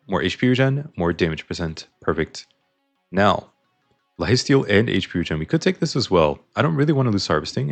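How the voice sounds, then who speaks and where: A man casually commenting on a video game as he plays, explaining his choices, his voice a little strained. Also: on the low side. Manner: chatty, explains choices while playing. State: slightly strained voice. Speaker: gaming YouTuber.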